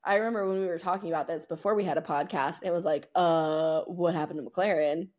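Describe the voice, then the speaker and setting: Female podcast co-host, soft-edged mellow tone, a touch low; chatty, informal, playfully self-mocking. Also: fast talker. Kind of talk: casual sports podcast chat, season recap.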